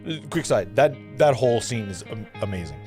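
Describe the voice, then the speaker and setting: Lowish-voiced man narrating, lively intonation. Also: brisk. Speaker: narrator reading stage directions. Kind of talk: scripted narration at an amateur table read. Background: music.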